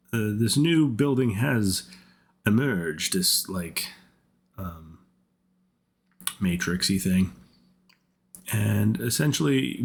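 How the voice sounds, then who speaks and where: A man giving casual gaming commentary in a rambling, think-aloud way, his voice rough from repeated colds. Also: low-pitched. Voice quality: husky, rough voice. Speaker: game content creator.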